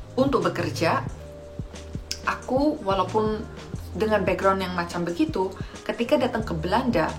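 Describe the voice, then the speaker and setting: A woman from Indonesia with an Indonesian accent, talking casually to viewers as a vlog host and mixing in English phrases. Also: mid-range voice. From Malang, East Java, Indonesia. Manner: addresses viewers directly, mixes in English phrases. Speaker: vlogger and host. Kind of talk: casual vlog talk to camera.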